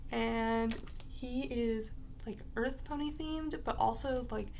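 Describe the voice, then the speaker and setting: Raspy young woman rambling at the camera, lots of likes and uhs, gravelly and a bit high. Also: takes it slowly, darkish tone, slight background noise. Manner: rambling, chatty, full of fillers like "like" and "uh". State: raspy, just woke up. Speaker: vlogger. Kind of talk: casual talk to camera.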